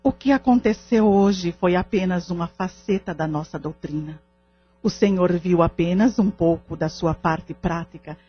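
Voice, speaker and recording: A woman's voice: fairly low pitch, slightly rough. A Brazilian woman playing a humble, devout medium in an audio drama, teaching in a patient, formal way. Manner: didactic, formal. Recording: dull lo-fi audio with music underneath.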